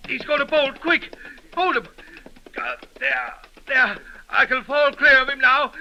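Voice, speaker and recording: An actor playing a wounded Civil War general in a 1930s radio drama, commanding yet gentle, halting and groaning. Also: male voice, extremely high pitch, muffled recording, a little hiss or hum, exaggerated voice. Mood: pained, alarmed. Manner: halting, groaning, stern orders. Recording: old 1930s radio recording, faint music.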